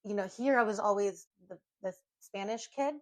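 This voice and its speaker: A woman's voice: medium pitch, normal speed, dry recording. A woman in her thirties from Venezuela, co-hosting a podcast and talking in an earnest, reflective way with many fillers. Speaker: podcast co-host. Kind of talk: casual heartfelt chat.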